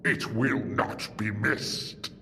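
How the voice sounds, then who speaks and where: An actor playing a grandiose, vengeful alien warlord in an audio drama, declaiming with theatrical menace. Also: male voice, fairly low pitch, fairly even intonation, drawn-out words, creaky, bright, forceful tone, full character voice. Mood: scornful. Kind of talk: audio drama villain acting. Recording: long reverb with music underneath.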